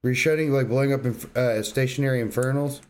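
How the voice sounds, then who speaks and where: A man's voice: mid-range voice, steady tone, quick pace. A man co-hosting a podcast, talking casually about video games with joking, crude banter. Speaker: podcast co-host.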